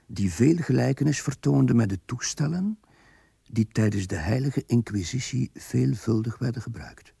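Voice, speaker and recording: A man narrating a period radio drama in measured, literary Flemish-accented Dutch. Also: medium pitch, lively intonation, vocal fry, dark tone, slightly rough, no room sound, clean studio sound, exaggerated voice. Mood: measured narration. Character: measured male storyteller of a period tale.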